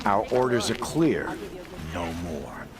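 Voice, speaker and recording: A man's voice: lowish voice, sing-song, takes it slowly. A man acting a curt, unbending military guard with an official manner in a video game. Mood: stern. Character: stern, unbending male military guard following orders.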